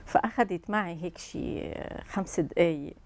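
A woman's voice: fairly low pitch, moderate pace, darkish tone. A woman in her fifties with a Lebanese accent, explaining as a guest expert in a conversational way with French terms mixed in. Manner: mixes French terms into Arabic. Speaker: guest expert, couples and family counsellor. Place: TV studio. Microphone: lapel mic.

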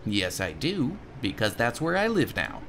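A man voicing an exasperated, sarcastic adventurer in a game, in an excitable, theatrical manner. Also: mid-range voice, a little slow, slight background noise, voice pushed down, exaggerated voice. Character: exasperated, sarcastic male adventurer and new homeowner.

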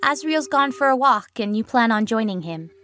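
A woman's voice: fairly high pitch, expressive, normal speed, fairly bright tone. A woman playing a plain, matter-of-fact second-person narrator in an amateur comic dub. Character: matter-of-fact narrator speaking for a silent child.

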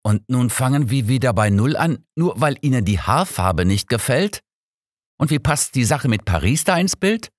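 Man voicing blustering police inspector, pitched up. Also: lively intonation, normal speed, no echo, studio quality, lightly acted. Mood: indignant. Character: blustering, proud male police inspector, easily ruffled.

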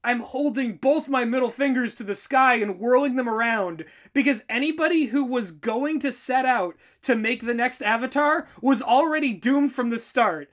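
A co-host giving casual, animated commentary with a sardonic, exasperated manner and free swearing. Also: mid-range voice, moderate pace, hardly any pauses. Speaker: podcast-style reaction co-host and writer.

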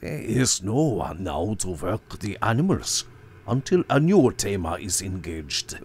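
A man voicing a cold, sly older circus owner who threatens with soft, mocking politeness. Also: sits low, very animated, measured pace, slight background noise, voice pushed up, heavily acted. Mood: silkily menacing.

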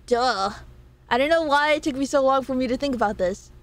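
A young American woman chats with her live viewers in an animated, playful way, laughing often as she reads chat aloud. Her voice sits a little above the usual pitch for a woman. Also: fairly quick. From United States. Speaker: streamer.

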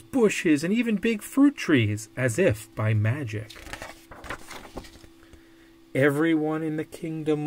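Slow, animated man reading a storybook. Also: fairly high pitch, slight background noise. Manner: deliberate, dramatic phrasing. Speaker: storybook reader. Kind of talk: expressive read-aloud of a children's picture book.

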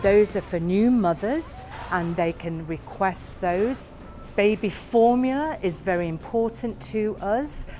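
Woman walking folks thru a warehouse, slow and plain, soft music underneath. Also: medium pitch. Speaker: warehouse tour guide. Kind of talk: guided tour narration.

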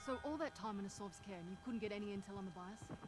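A woman's voice: mid-range voice, quick pace, natural voice. A woman playing a tough, stern female mercenary, curt and guarded, in an action video game. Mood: businesslike, stern. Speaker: video game character actor. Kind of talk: cinematic action game dialogue. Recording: game ambience noise behind voice.

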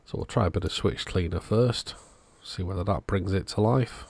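A man with a very low voice and a gravelly fry narrates a repair job casually, as a hobby-channel host would. The sound is dry, with no room echo to it. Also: normal speed, a little mellow.

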